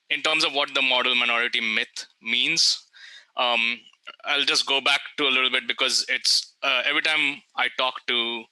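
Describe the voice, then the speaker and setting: Bright, crisp-voiced man panelist. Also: mid-range voice, no echo, poor audio. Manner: reflective, hesitant. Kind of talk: answering on a video call panel. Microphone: video call.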